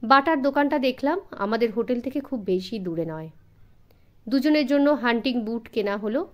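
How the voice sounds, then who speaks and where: Lively, high-voiced woman storyteller. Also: moderate pace. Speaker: audiobook narrator. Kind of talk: dramatised scripted story narration for children.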